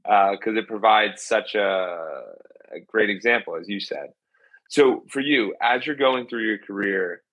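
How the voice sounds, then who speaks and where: A man's voice: fairly low pitch, no echo, dull audio. A man hosting a podcast, reading an upbeat scripted intro and then asking interview questions. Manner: upbeat, promotional. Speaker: podcast host.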